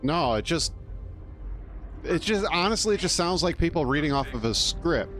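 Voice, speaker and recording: A man's voice: a little high, expressive, normal speed, a bit bright, soft background music. A man giving casual, rambling live commentary as a streamer reacting to a video.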